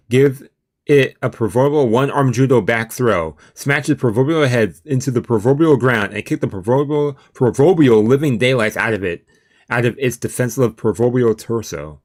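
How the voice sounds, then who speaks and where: A man's voice: medium pitch, normal speed. A man reading a visual novel aloud as a let's play, with casual asides and stumbles over long words. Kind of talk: let's play commentary and read-aloud narration. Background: video game music.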